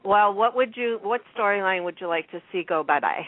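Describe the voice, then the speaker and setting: Expressive woman on a phone-like line. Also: mid-range voice, a little fast, no echo. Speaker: radio show host. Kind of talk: casual chat. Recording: dry, muffled phone-like line.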